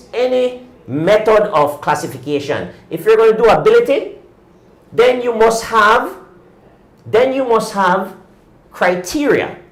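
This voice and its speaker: A man's voice: high voice, echoey room. A man in his fifties hosting a cycling talk show, ranting indignantly and pressing his points with repeated rhetorical questions. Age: 50s. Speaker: cycling podcast host. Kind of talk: opinionated talk-show rant.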